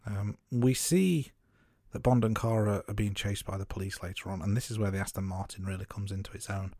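Low-voiced man recapping a film. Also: fairly quick, dry recording, clean studio sound. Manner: steady recap of scenes, some fillers. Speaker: podcast co-host. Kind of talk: casual film review chat.

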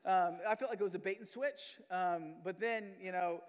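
High-voiced young pastor in a reverberant hall. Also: male voice, normal speed. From Philippines. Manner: chatty, filler-heavy, self-deprecating. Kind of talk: casual sermon opening. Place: church hall.

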